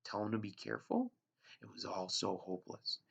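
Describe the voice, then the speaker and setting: A man's voice: low-pitched, a little fast, vocal fry, a bit bright, husky. A man, a teacher, reading a novel aloud to his class, with an unpolished delivery that sometimes stumbles and restarts. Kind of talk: read-aloud storybook narration. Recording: dull lo-fi recording.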